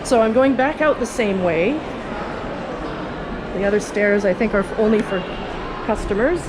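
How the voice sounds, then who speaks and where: Woman, casual walking tour host, chatty, rambling, wry asides, medium pitch, handheld camera, very noisy urban background with traffic. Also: moderate pace. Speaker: host and tour guide of a city walk video. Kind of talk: casual off-the-cuff walking commentary. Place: out and about at a London skyscraper and its streets. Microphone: handheld camera on a stick. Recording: noisy outdoor ambience with city traffic.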